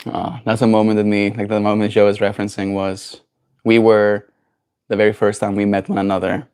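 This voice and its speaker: A man with a South African accent and a voice a little lower than most men's hosts a relaxed livestream. He thinks aloud in a meandering, reflective way and keeps tossing in "right?" as he goes. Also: brisk, clean tone. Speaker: livestream host. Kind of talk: casual livestream chat.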